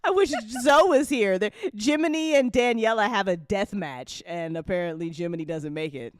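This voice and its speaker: A woman's voice: medium pitch, moderate pace, no room sound, studio quality. A woman hosting a casual group chat, playful and informal, often giggly as she puts questions to people. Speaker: host putting questions to a cast.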